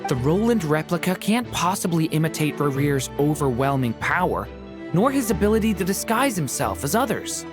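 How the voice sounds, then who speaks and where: Earnest, animated young researcher. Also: male voice, on the high side, moderate pace. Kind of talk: video game character dialogue. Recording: music playing behind.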